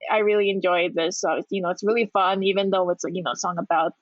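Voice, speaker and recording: A woman's voice: on the low side, steady tone, fast, no room sound, muffled recording. A woman co-hosting a podcast, talking hesitantly about a song with many fillers. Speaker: podcast co-host. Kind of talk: casual music review chat.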